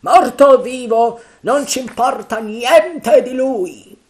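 A man voicing a rough, hot-tempered male pirate sailor who shouts in an audiobook reading. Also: extremely high pitch, slow, pitched above the performer's own voice. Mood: defiant.

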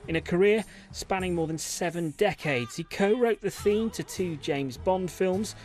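A man's voice: high-pitched, normal speed, a little mellow. A British man narrating a scripted obituary report as a news reporter. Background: music.

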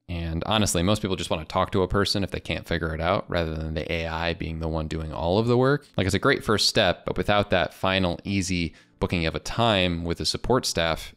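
A man in his twenties with an American accent, presenting a casual software demo into a close desk microphone in a small home studio. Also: deep voice, clean tone. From United States. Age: 20s. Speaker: presenter of software review videos. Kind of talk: casual product demo narration.

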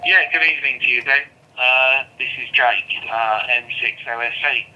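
A man's voice: medium pitch, normal speed, pushed and bright. A man chats casually as a ham operator over a two-way radio. He speaks in hesitant, broken-up phrases, and the transmission is dull with some light noise.

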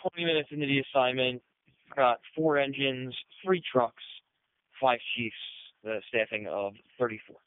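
A man's voice: medium pitch, fairly even intonation, normal speed, smooth, no room sound. A man working as a fire dispatcher, giving clipped, businesslike procedural replies and repeating unit numbers over two-way radio. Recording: narrow, tinny emergency radio traffic.

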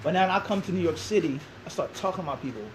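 A man's voice: high-pitched, lively intonation, fast talker, slight background noise, rough recording. A man in his thirties answering an interview in a small classroom, emphatic and full of rhetorical questions. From Philadelphia. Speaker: interviewee, Islamic teacher.

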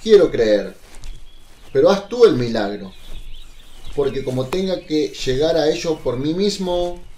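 A man plays an old fisherman apostle in a religious radio play. His voice is fairly high for a man and swings widely, humble, earnest and hesitant. There is clear noise with birdsong behind him. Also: animated, unhurried, warm tone. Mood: self-doubting. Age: older. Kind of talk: religious radio drama acting. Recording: noisy, birdsong ambience behind.

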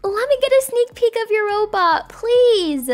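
A woman's voice: very high voice, animated, measured pace. A woman voicing a caring, reassuring young mother in an acted family roleplay story. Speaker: creator voicing a roleplay video.

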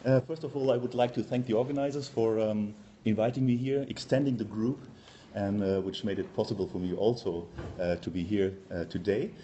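A man in his forties gives an academic talk in English with a German accent. His voice is fairly low, with a dark, relaxed tone. He speaks haltingly, pausing often as he builds each sentence. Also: dull audio, a little hiss or hum. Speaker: university professor, conference speaker. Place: lecture room, indoors.

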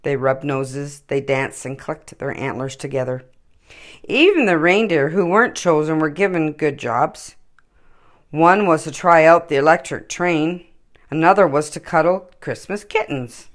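A middle-aged woman narrating a children's story in a deliberate, expressive way. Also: low-pitched. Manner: deliberate, animated. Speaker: children's story time reader.

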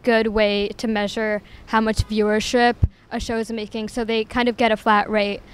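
A woman's voice: on the high side, little pitch movement, normal speed. A young woman asking passersby questions on a city street with a handheld mic, hesitant, with many ums. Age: young adult. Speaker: street interviewer. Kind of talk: street interview. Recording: faint street noise behind.

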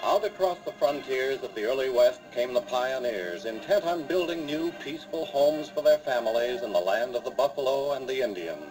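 A man's voice: mid-range voice, normal speed, hardly any pauses, lightly acted, poor audio. A man with an American accent narrating a radio western as a dramatic, declamatory announcer. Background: music.

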